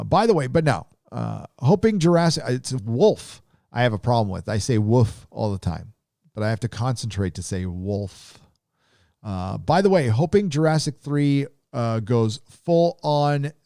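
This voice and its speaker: A Southern Californian man hosting a live stream, talking in a loose, rambling drawl with lots of fillers. His voice sits at an ordinary middle pitch for a man. The sound is dry and close, with no room echo. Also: vocal fry, warm tone. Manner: rambling, laid-back drawl with many fillers. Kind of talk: casual live chat reading donations.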